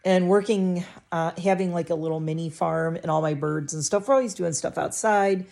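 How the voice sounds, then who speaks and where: A woman's voice: lowish voice, steady tone, normal speed, vocal fry, a little mellow. A middle-aged woman vlogger chatting casually to camera from her living room, rambling and interrupting herself, a little stuffy with a scratchy throat.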